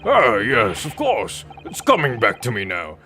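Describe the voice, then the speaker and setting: A man's voice: medium pitch, huge pitch swings, a little slow. A man voicing a stately, questioning court official from a game with formal, theatrical delivery. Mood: knowing. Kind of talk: dramatic read-aloud of game dialogue. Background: game music.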